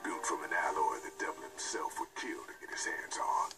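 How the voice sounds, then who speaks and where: A man playing a gruff, sardonic bartender and arms dealer in a video game, heard over loud game music. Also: extremely low pitch, a little fast, in a whisper.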